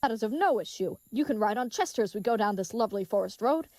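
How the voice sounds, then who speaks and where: A woman's voice: medium pitch, expressive, quick pace, a little mellow, no room sound, natural voice. A woman playing a big, earnest, dim-witted young knight in an audio drama, speaking in florid, old-fashioned, chivalrous phrases. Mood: cheerful, courtly.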